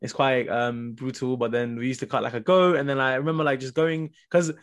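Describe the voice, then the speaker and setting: This is a young man from Nepal in a casual panel conversation. He talks very quickly at an average male pitch. His phrasing is informal and slangy, peppered with "like" as he goes. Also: fairly even intonation. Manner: informal, slangy, heavy use of "like". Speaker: podcast panelist. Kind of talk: casual group discussion.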